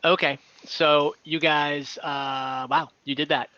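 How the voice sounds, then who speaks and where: A man narrating an online fantasy game as game master, conversational and halting, with some light amusement. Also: a little high, little pitch movement, pushed and bright, no echo, dull audio.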